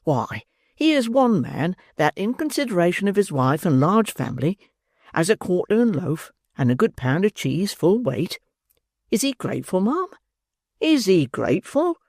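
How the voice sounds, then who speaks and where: A reader voicing a pompous, self-important male parish beadle who lectures in a lively, rising voice. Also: medium pitch, measured pace, no room sound, studio quality, voice pushed up. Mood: lecturing.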